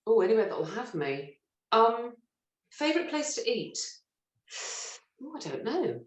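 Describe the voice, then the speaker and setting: A middle-aged woman from Britain, a stage actress telling lively, self-mocking stories in an interview and laughing often. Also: fairly high pitch, a little slow. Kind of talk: relaxed anecdotal interview chat. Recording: roomy echo.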